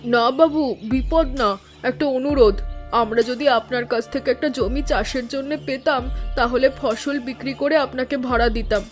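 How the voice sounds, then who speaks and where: A woman's voice: sits high, normal speed, faint music behind, natural voice. A woman voicing an earnest, dutiful village daughter-in-law in a cartoon story, worried but resolute. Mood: respectful. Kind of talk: Bengali cartoon story dialogue.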